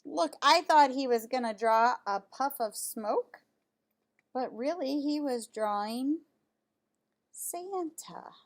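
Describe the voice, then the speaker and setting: A woman reading a children's picture book aloud, pausing for casual asides and questions to young listeners. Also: high-pitched, animated. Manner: pauses to comment on pictures and ask listeners questions.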